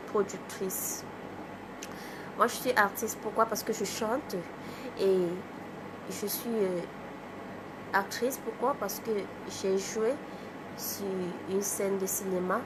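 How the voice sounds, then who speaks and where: A woman from Cameroon with a cold, hosting a casual livestream, greeting viewers by name and chatting in a halting way. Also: mid-range voice, noisy background. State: has a cold. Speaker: livestream host, artist. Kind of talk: casual live chat with viewers.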